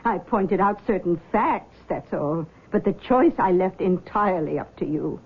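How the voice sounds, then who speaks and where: A woman's voice: on the low side, lively intonation, unhurried, slightly rough, heavily acted. An actress playing a controlling, anxious middle-aged mother, speaking in a coaxing, fretful way in old-time radio drama style. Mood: fretful, defensive. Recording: dull old radio recording.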